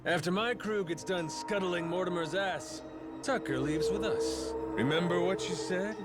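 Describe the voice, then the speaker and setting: Cocky, high-voiced pirate captain. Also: male voice, measured pace. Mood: taunting, menacing. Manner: swaggering, slangy, taunting. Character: cocky, menacing male pirate captain, middle-aged. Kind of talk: dramatic video game cutscene acting. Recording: game music behind the voice.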